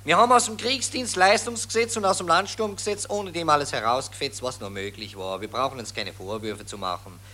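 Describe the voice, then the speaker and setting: A man's voice: on the high side, fairly quick, few pauses, bright, forceful tone. An actor with a Viennese accent playing a boastful, harsh army officer in a radio play, drawling and chuckling as he brags. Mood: smug.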